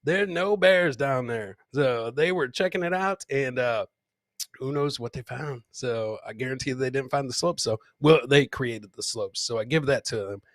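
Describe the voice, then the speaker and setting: A man's voice: mid-range voice, lively intonation, normal speed, no echo. A man hosting a comedy podcast, casually commenting on clips in a boisterous, slangy way with repeated catchphrases.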